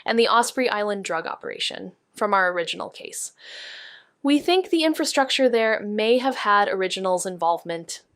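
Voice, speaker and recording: A woman playing a serious, analytical investigator in an audio drama, speaking in a measured, precise way. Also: mid-range voice, lively intonation, fairly bright tone, no room sound, studio quality, close to an everyday voice.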